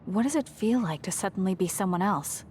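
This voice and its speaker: A woman's voice: mid-range voice, expressive, a little fast, darkish tone, slight background noise, natural voice. Woman voicing a cool, frank young female soldier. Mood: concerned. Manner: blunt, composed. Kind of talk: video game voice acting. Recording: music behind the voice.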